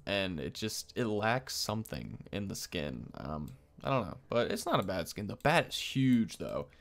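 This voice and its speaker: A man's voice: low-pitched, sing-song, normal speed. A young man giving casual video game commentary as a host. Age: young adult. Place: indoor room, at a desk. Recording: clear game noise behind. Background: video game ambience.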